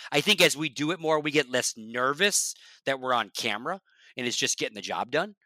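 Blunt, bright-voiced man talking shop. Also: lively intonation, brisk, dry recording. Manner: blunt, emphatic, swears, drops to a low quiet voice. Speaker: esports broadcast talent, guest. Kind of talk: casual podcast chat.

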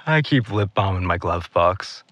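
A man's voice: sits low, expressive, measured pace, fairly bright tone, no room sound, full character voice. A young man playing a stubborn, guarded protector in an audio drama, terse and defensive. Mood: soft. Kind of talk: naturalistic audio drama acting.

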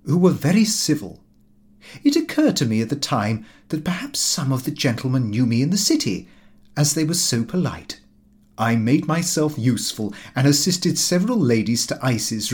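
A man narrating a comic diary novel as an audiobook, in a formal, measured and precise storytelling manner. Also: medium pitch.

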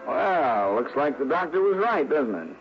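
A man's voice: on the high side, very animated, measured pace, soft background music. An actor playing a brash, friendly New York police lieutenant who ribs his pal colloquially in a 1960s radio drama. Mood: teasing. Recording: muffled old radio recording, faint noise.

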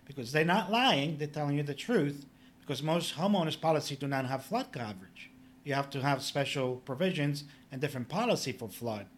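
A man's voice: on the high side, expressive, a little fast, slight background noise. Man explaining plainly. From Poland. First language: Polish. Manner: plain-spoken and instructive, with filler "you know". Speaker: public adjuster, guest. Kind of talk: casual podcast interview.

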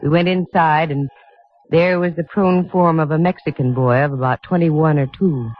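A woman's voice: sits low, steady tone, normal speed, a little hiss or hum, lightly acted. An actress playing a shrewd, matter-of-fact female private detective in 1950s radio style, narrating her case with a wry edge. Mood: grave, narrating. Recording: dull old radio recording with music.

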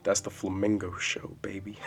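A man in his twenties hosting a solo podcast, rambling casually and swearing freely in a loud voice with bass. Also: monotone, unhurried. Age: 20s. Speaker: podcast host. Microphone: podcast mic. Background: soft jazz music.

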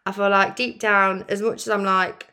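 A young woman hosting her own podcast, with a croaky, deep voice, giving earnest, chatty pep talks and laughing often. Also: little pitch movement, moderate pace, sharp tone. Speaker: podcast host. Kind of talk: casual motivational solo podcast.

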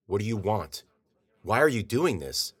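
A frightened grown man pleads in a strained, desperate voice, as the narrator voices him. His voice is deep and low. He sounds cornered and terrified. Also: big pitch swings, normal speed, a bit bright, slightly rough, exaggerated voice.

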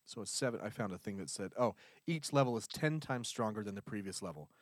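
A man's voice: lowish voice, animated, fast, dry recording, studio quality. A man co-hosting a casual podcast chat, laid-back, laughing often and trailing off mid-sentence. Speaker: podcast co-host.

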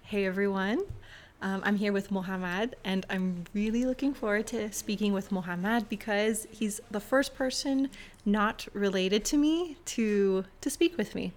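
A woman's voice: medium pitch, a little slow. A woman hosting a podcast, talking in a casual, chatty and reassuring way. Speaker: podcast host interviewing.

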